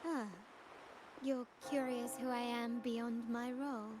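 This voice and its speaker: Formal game heiress, fairly high pitch, measured, composed, cool, noticeable background hiss. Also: female voice, flat delivery. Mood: poised, teasing. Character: poised, formal heiress of a powerful family, cool and calculating. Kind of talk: video game voice acting.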